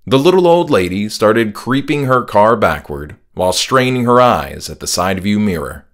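Low-pitched man narrating horror fiction. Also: animated, moderate pace. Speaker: author and audiobook narrator. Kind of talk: scripted first-person horror narration.

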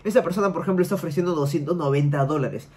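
A man's voice: on the high side, very fast, darkish tone. A young man hosting a screen-share tutorial, giving direct and repetitive step-by-step instructions to the viewer from a small room at home. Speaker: YouTube money-making tutorial host.

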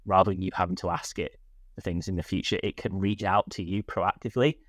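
A man's voice: low-pitched, steady tone, quick pace, dry recording. A man in his thirties answering interview questions in an enthusiastic, explanatory, conversational way. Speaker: podcast guest, tech company product leader. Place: small furnished room at home. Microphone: video call, wearing headphones.

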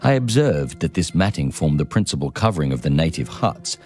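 A man with an extremely deep voice narrates a first-person memoir in a measured, deliberate way. It is scripted, audiobook-style reading, with music playing clearly behind him. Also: sing-song, a little mellow.

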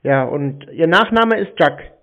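A man's voice: on the high side, lively intonation, measured pace, fairly bright tone. A man in a phone conversation with cold callers, speaking in a sardonic, confrontational way. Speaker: call recipient confronting scam callers. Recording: muffled, narrow phone-call sound.

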